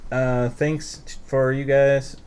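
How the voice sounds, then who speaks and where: A man's voice: medium pitch, little pitch movement, takes it slowly, crowd noise. Young man, podcast host, casual chat, crude jokes, laughing.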